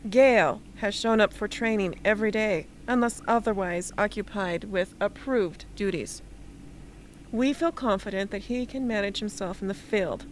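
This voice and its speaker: An actress playing a stern, unyielding rebel leader in an amateur radio play, with a formal, clipped, official delivery. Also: female voice, medium pitch, moderate pace, close to an everyday voice. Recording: noisy amateur recording.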